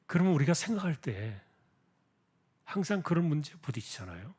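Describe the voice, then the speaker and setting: Husky male preacher with animated, swinging pitch. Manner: explanatory, rhetorical questions, deliberate emphasis. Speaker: pastor preaching at a church prayer meeting. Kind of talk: expository sermon.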